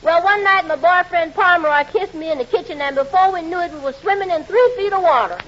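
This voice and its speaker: Very high-voiced comic housemaid. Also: female voice, quick pace, fairly bright tone. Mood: chatty. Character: comic female housemaid, plain-spoken and complaining. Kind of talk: 1940s radio comedy acting. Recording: dull old radio recording, faint noise.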